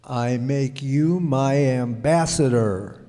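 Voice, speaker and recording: Man acting slow, pompous, bumbling king, echoey hall. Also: mid-range voice, warm tone, lightly acted. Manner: regal, pompous, hemming and hawing. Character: lonely self-important king, bumbling but kindly. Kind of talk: radio play acting. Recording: echoey hall, long reverb.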